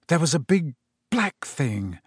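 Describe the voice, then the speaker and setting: A man playing a nervous, guarded boy wizard who gives short, hurried replies in a narrated storybook. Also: fairly high pitch, big pitch swings, husky, no room sound, studio quality, full character voice. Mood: uncertain.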